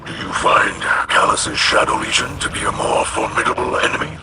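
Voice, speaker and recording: A man's voice: lowish voice, normal speed, gravelly, bright, forceful tone, heavily acted. A man playing an honourable, loyal alien house leader in a video game, terse and formal. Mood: stern. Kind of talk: scripted video game acting.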